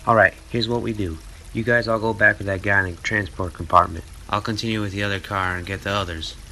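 A man acting a decisive, gruff leader of a retrieval team in an audio drama. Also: fairly low pitch, steady tone, fast talker, a little hiss or hum. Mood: firm, terse. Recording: loud music under the dialogue.

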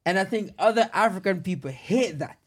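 Higher-voiced man chatting casually. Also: expressive, brisk, breathy edge. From Jamaica. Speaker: host of a discussion with friends. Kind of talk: casual conversational discussion.

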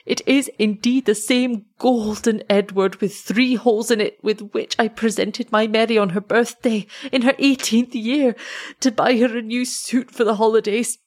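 A woman's voice: on the high side, steady tone, normal speed, a little mellow, voice pushed up, natural voice. Lady reading as an old scottish shepherd fella, broad dialect, all worked up and tender, storybook style. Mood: tearful, overjoyed. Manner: exclamatory, emotional, speaking in broad dialect.

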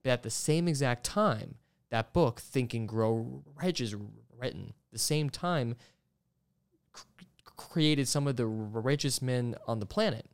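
A man's voice: medium pitch, measured pace, smooth. A man hosting a casual interview, speaking enthusiastically and often stammering over the first sounds of words. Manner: stammers, repeating sounds and syllables. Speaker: podcast host interviewing a guest.

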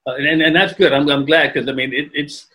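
A man's voice: on the high side, fairly even intonation, a little fast, pushed and bright. A man hosting a podcast interview, affirming his guest and asking eager questions. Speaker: podcast host. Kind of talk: conversational interview.

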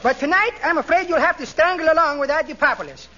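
An actor playing an excitable, henpecked Greek man with a Greek accent and mangled English in a 1940s radio comedy. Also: very high-pitched, quick pace. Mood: stubborn. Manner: broken grammar, mangled sayings. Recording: noisy, muffled 1940s radio recording.

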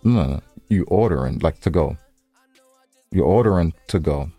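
Man, American accent, low voice, podcast co-host, laid-back conversational banter, dry close-miked sound. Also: animated, normal speed, dark tone, dry recording, faint music behind. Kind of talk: casual unscripted podcast banter.

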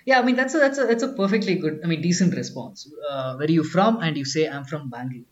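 A man from Kerala with a slightly high voice co-hosts a relaxed conversational podcast. He explains his points patiently and restarts his sentences often. The recording sounds dull and lo-fi. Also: fast talker. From Kerala, India. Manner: explanatory, many restarts and "I mean". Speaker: podcast co-host.

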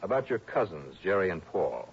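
An actor playing a wry, steady investigator, dry and matter-of-fact, in 1960s radio drama style. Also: male voice, deep voice, normal speed, slightly rough, exaggerated voice. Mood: patient, polite. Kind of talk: 1960s radio drama dialogue and first-person narration. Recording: dull old radio recording.

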